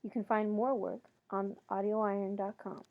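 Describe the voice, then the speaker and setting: A woman's voice: medium pitch, flat delivery, moderate pace. A woman reading scripted credits as an announcer, with slightly lo-fi sound. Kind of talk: scripted narration.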